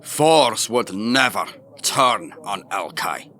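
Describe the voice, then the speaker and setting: An actor playing a blunt, wry, assured warden in a fantasy audio drama. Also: male voice, fairly low pitch, animated, slow, bright, forceful tone, slight background noise, full character voice.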